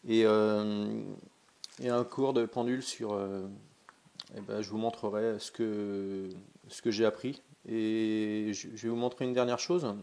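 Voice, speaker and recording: A man's voice: fairly low pitch, level tone, unhurried, a little mellow. A man with a cold, casually demonstrating something in a vlog and talking in a hesitant, chatty way with many fillers and restarts. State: has a cold. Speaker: pendulum dowsing vlogger.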